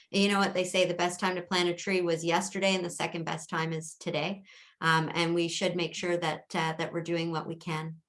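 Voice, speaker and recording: A woman's voice: fairly low pitch, fairly bright tone. A middle-aged woman with a Canadian accent answering as a council candidate at a debate, earnest, with many fillers and restarts.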